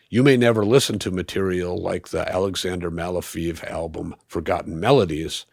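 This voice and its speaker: Guy with a pretty deep voice doing a scripted review, measured and analytical, really dry recording. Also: no room sound. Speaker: audio equipment reviewer.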